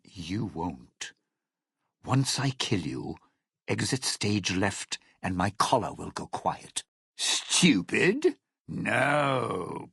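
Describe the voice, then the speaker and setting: An actor playing a cynical, scheming old lounge performer in a video game, with a gravelly, raspy voice and a sardonic, showy drawl. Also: male voice, lowish voice, pushed and bright, dry recording, studio quality, exaggerated voice. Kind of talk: video game character dialogue.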